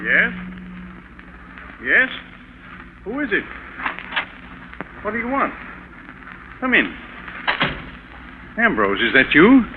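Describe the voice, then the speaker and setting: A man's voice: high-pitched, huge pitch swings, takes it slowly, long pauses, full character voice. An actor playing a concerned male friend, placating and insistent, in a 1940s radio drama. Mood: startled. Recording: narrow, noisy 1940s radio broadcast.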